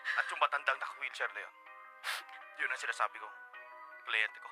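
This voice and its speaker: A man's voice: mid-range voice, fairly quick, bright, forceful tone, soft background music. A man with a Filipino accent playing a defensive, pleading young boyfriend in a melodramatic Tagalog radio drama. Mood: defiant. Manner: melodramatic, emphatic.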